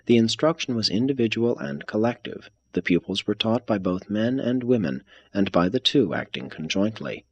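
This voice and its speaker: Low, slightly husky man reading formally. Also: animated, lo-fi sound. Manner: measured, formal delivery. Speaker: reader. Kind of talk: scripted audiobook-style reading of a religious text.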